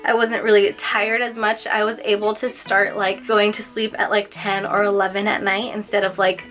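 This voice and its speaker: A woman's voice: medium pitch, flat delivery, moderate pace, a bit bright, soft background music. A young woman vlogging casually, slightly nasal and congested, chatty with lots of filler words. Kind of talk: casual personal vlog.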